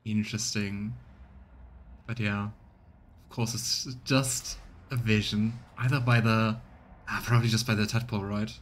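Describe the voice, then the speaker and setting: A man's voice: fairly low pitch, little pitch movement, a little slow, slight background noise. A man giving casual commentary and narration as he streams a voiced let's play.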